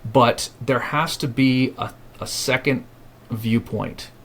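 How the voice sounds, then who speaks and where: A man's voice: medium pitch, steady tone, takes it slowly, a bit bright. Earnest, reflective man. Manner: earnest and reflective, often restarting phrases. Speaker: publishing house founder, podcast guest. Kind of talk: podcast interview.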